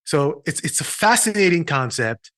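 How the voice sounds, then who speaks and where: A man's voice: on the high side, very animated, normal speed, a bit bright, dry recording, studio quality. A middle-aged man from Haiti, a physician, telling his story in an earnest way with restarts in a podcast interview. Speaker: physician and patient experience consultant.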